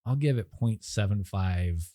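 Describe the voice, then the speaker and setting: A man's voice: lowish voice, expressive, unhurried, warm tone, no echo, clean studio sound. A man co-hosting a podcast, giving blunt, opinionated movie reviews in casual chat. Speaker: podcast co-host.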